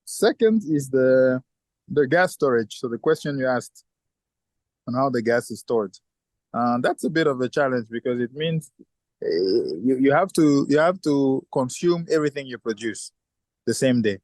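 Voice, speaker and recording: A man's voice: medium pitch, expressive, normal speed, a little mellow, no echo. Engineer guy answering interview questions, keeps restarting his sentences, dry close sound. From southern Africa. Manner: thoughtful, frequent restarts and fillers. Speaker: chemical engineer and company founder.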